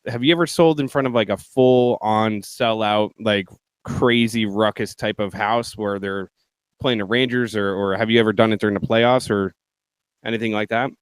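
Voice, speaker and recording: A man's voice: lowish voice, steady tone, moderate pace, clean tone, no room sound. A man hosting a casual podcast, asking long wandering questions with plenty of fillers. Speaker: podcast host.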